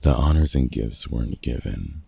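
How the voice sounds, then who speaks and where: Gruff but kindly vampire hunter, played by a man for roleplay audio: heavily fried, dark, raspy voice, sardonic and grumbling. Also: extremely low pitch, animated, normal speed, no room sound, heavily acted. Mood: explanatory, grave. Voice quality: gravelly, husky. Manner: dry, sarcastic, grumbling. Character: gruff, grudgingly kind older male vampire hunter. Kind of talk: roleplay ASMR audio drama monologue.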